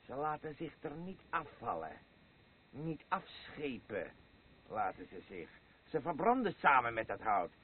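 A boisterous, rambling drunkard of a husband in a radio play. His voice is husky and leaps widely in pitch, veering between elation and weariness. The recording is narrow and muffled. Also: sing-song, a little slow. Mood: brooding, amused. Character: boisterous, rambling alcoholic husband obsessed with a horse. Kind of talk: Dutch radio play acting.

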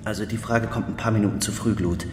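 An actor playing a dry, pedantic forensic expert in a radio crime drama, terse and deadpan. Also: male voice, on the low side, fast talker, slight background noise, close to an everyday voice. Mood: dry, measured. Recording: echoing stairwell-like reverb.

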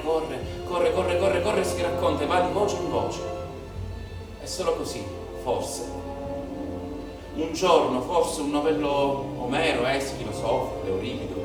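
A man delivers a satirical stage monologue as a storyteller, in a declamatory, ironic way with insistent repetitions. His voice rings through a very reverberant space, picked up from a distance. Loud music plays behind him. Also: a little high. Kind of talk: theatrical narrative monologue. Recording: echoey theatre, distant mic, music behind.

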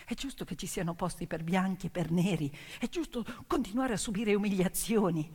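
A woman performing dramatic theatrical storytelling in an emphatic, declamatory manner, with deliberate pauses and repetitions. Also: medium pitch, a little mellow, husky. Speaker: stage storyteller. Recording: piano music behind the voice. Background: piano accompaniment.

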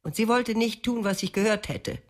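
A woman's voice: on the high side, steady tone, normal speed, close to an everyday voice. An actress playing a cold, contemptuous older mother of a grown son in a radio drama. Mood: scornful. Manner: contemptuous, scornful. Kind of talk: German radio drama acting.

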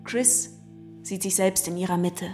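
Slow talking woman narrator for a theatre piece, scripted storytelling, clear guitar music underneath. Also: medium pitch. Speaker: narrator and singer in a stage play. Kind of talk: scripted dramatic narration in third person.

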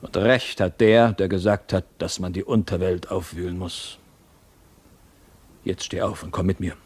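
An actor playing a fervent, scheming mentor in a theatrical radio drama, urgent, rhetorical and commanding. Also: male voice, low voice, fairly even intonation, a little slow, darkish tone, slightly rough. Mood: fervent, boastful. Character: fervent, scheming mentor and jailer urging revolt.

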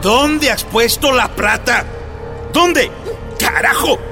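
An actor playing a brutal, lecherous military interrogator in a radio drama, gruff and bullying as he barks commands. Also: extremely high pitch, lively intonation, slow pace, over music, exaggerated voice. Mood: furious accusing.

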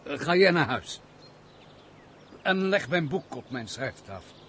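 An actor playing a world-weary, reclusive gentleman master in a radio play, curt and commanding in manner. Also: a little high, big pitch swings, unhurried. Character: brooding, reclusive misanthropic gentleman master with a hidden kindness. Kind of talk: theatrical Dutch radio play acting. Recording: faint background noise, old radio play.

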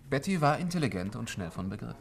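An actor playing a polite, guarded bank man with a hesitant, measured way of talking in a 1950s German radio drama. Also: medium pitch, sing-song, gravelly.

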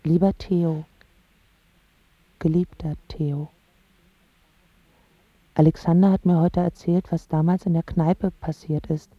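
A woman's voice: low voice, fairly even intonation, slow pace, pauses often, husky, no room sound, full character voice. Passionate young woman, slightly muffled. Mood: tender, pleading. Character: passionate, wounded, spoiled young woman in love. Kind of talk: radio drama acting, first-person narration.

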